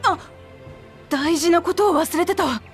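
A woman playing a brash, hearty young female adventurer in a Japanese video game, with rough, boyish, blunt speech. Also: extremely high pitch, unhurried. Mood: friendly, eager. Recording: background music under the voice.

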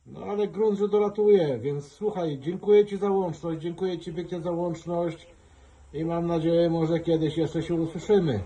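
A man's voice: on the high side, fairly even intonation, moderate pace, dark tone, a little hiss or hum. A man working as an amateur radio operator, chatting in a friendly, easygoing way during a radio contact. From Poland. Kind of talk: casual ham radio contact.

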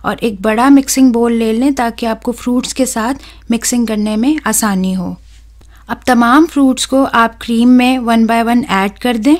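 A young woman, hosting a cooking channel and talking viewers through a recipe in a steady, instructive voice-over. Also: fairly high pitch. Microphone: voice-over, close mic.

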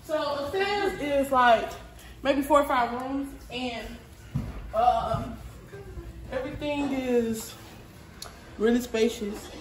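Young woman doing a casual house tour vlog, unhurried, echoey rooms, repeats herself, talks to y'all. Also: sits high, distant mic. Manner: chatty, repeats phrases, addresses viewers as y'all. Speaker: vlogger giving a house tour. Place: indoors walking through a large house. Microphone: handheld phone. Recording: roomy, noisy, voice off from mic.

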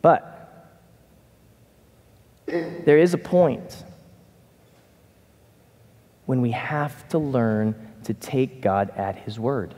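A middle-aged man preaching a conversational sermon from a church stage, animated and casual. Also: medium pitch, very slow, long pauses. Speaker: pastor. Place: church stage in a large hall. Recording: reverberant hall.

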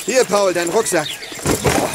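A man's voice: sits high, a little slow, heavy background noise. An actor playing a good-natured male helper at a horse reserve in a children's audio drama. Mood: good-natured, teasing.

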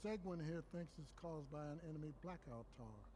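A man playing a shrewd older resistance leader in a game cutscene, measured, instructive and wry. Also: a little high, expressive, mellow, slight background noise, close to an everyday voice. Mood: grave. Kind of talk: video game cutscene acting.

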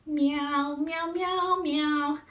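A woman's voice: sits high, lively intonation, moderate pace, pitched above the performer's own voice. A woman voicing an earnest, wistful female cat from a children's storybook, with a singsong delivery. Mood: singing hopefully. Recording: roomy echo, distant from the mic.

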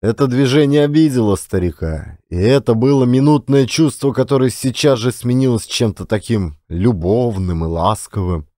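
A man's voice: medium pitch, normal speed, hardly any pauses, mellow, no echo, clean studio sound. A man reading scripted literary narration as an audiobook narrator and actor.

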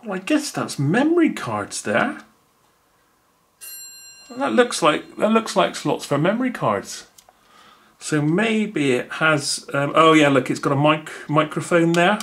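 A man's voice: fairly high pitch, sing-song, unhurried, fairly bright tone. A man, thinking aloud with many fillers as he unboxes a gadget at a table indoors. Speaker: unboxing video host. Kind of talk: casual unboxing commentary.

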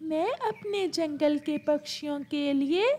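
An eager little boy bird voiced by a woman in a children's cartoon story. The voice is high and the words come very slowly, with a proud, determined manner. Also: a little mellow, slight background noise.

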